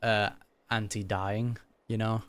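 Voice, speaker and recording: A man's voice: fairly low pitch, little pitch movement, slow pace, clean tone. A man giving casual gaming reaction commentary, informal and slangy, recapping in plain words. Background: game music.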